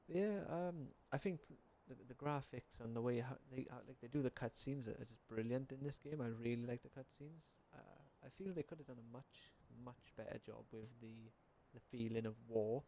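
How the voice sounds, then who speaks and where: Young male gaming creator: soft dark tone, informal rambling opinions, mid pitch, faint noise behind. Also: normal speed, hardly any pauses. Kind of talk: casual unscripted game commentary.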